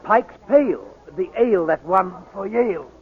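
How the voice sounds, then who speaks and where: An actor playing a deferential, flowery, fussy butler in 1940s radio comedy style. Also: male voice, high-pitched, lively intonation, moderate pace. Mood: droll, flowery. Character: obsequious male butler, mannered and flowery. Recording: thin, tinny 1940s radio broadcast.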